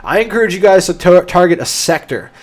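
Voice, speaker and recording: A man's voice: sits high, expressive, brisk. A young man hosting a casual livestream, answering chat questions with a stuffed-up nose and frequent restarts. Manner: enthusiastic, explanatory, frequent restarts.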